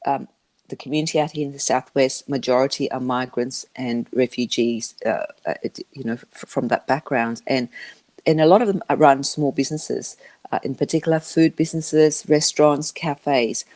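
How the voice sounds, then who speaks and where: A woman who learned English as a second language, answering interview questions about her business in a halting way, with many restarts. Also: extremely low pitch, a little mellow. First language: not English. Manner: halting, with frequent restarts and fillers. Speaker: interview guest, media business founder.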